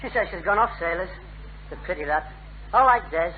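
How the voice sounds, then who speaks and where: A man's voice: sits high, lively intonation, brisk. An actor playing a cheeky, scheming young Northern English working-class man in a radio sitcom, with indignant, needling banter. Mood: wistful. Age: young adult. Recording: narrow, noisy old radio recording.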